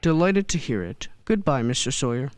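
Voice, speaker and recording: A performer playing a kindly, unflappable elderly man who believes he is Santa in a radio play, genial and gently probing. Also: medium pitch, big pitch swings, normal speed, clean tone, dry recording, studio quality, natural voice. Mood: calmly probing.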